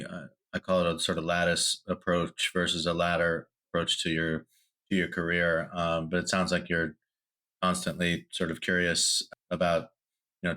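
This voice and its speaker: A man's voice: low voice, sharp tone. A man hosting a podcast interview, talking in a loose, rambling way with many fillers and restarts. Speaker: podcast host.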